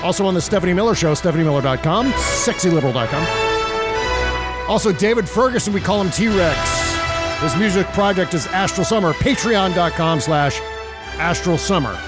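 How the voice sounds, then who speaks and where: A man's voice: high-pitched, animated, moderate pace, over loud music. A man hosting a podcast, chatty and jokey, delivering upbeat casual banter with announcer-like flourishes. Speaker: podcast host.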